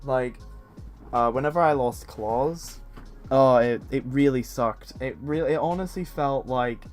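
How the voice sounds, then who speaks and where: A man's voice: medium pitch, a little slow, soft background music. A man in his twenties chatting casually on a stream, rambling with many fillers and restarts. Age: 20s. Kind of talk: casual chat.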